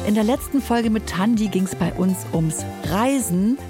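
A woman presents a promo as a children's podcast host. Her mid-pitched voice moves in a lively, animated way over clear music. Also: measured pace.

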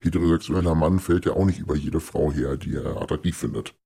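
Deep, gravelly, disguised man. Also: fast talker, mellow. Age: early 30s. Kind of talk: documentary interview.

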